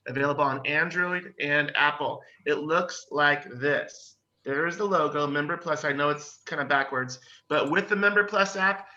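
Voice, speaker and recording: Very bright-voiced man, slightly dull recording. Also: a little high. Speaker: association president presenting. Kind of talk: short spoken business pitch. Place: video call.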